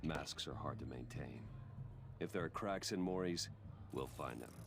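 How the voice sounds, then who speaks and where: An actor playing a brooding billionaire vigilante in a video game, speaking gravely and in measured phrases with a gravelly voice. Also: male voice, extremely low pitch. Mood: guarded, focused. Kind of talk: video game voice acting, dramatic narration.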